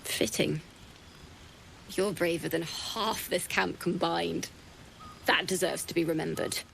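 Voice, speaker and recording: A woman playing a tipsy, admiring songwriting bard in a fantasy game, talking in a musing, unhurried way. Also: on the low side, steady tone, creaky, fairly bright tone, a little hiss or hum, heavily acted, rough recording. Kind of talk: cinematic fantasy game acting.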